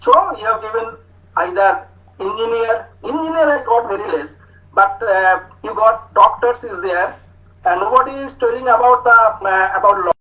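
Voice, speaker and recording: A man with an Indian English accent giving an online talk to students, speaking slowly with many hesitations as he reads out their answers. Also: high voice. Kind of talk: online lecture with student chat. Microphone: video call. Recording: muffled video call audio.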